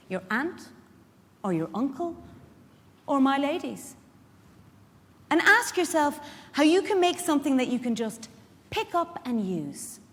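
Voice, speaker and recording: A woman with an Irish accent performing a live dramatic monologue as a feisty, protective care worker, in a conversational, wry manner. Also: sits high. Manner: conversational, wry, rhetorical questions to the audience. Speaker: actor in a dramatic reading. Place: large indoor event hall. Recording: echoey hall, live stage reading.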